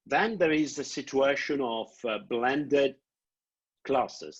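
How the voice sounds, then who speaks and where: A middle-aged Italian man with an Italian accent, answering prospective students' questions on a video call, halting and repeating words as he explains. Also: medium pitch, breathy edge. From Italy. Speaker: programme director. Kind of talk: online Q&A answers. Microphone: laptop webcam video call. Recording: dull lo-fi video call audio.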